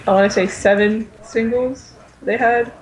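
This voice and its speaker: A woman's voice: fairly high pitch, fairly even intonation, unhurried, low-quality recording. A woman chatting casually as a panelist on a podcast. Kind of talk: casual chat. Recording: noticeable background noise.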